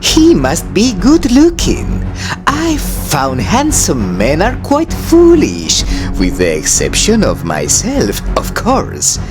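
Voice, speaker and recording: A man voicing a vain, haughty young royal who speaks in a measured, grand manner. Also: fairly high pitch, huge pitch swings, music in the background, exaggerated voice. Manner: self-admiring, measured and grand.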